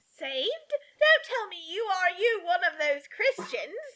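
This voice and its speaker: A woman's voice: very high voice, big pitch swings, slow pace, pushed and bright, exaggerated voice. A woman voicing a snooty, scornful suburban aunt in a comic story reading. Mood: scornful, smug.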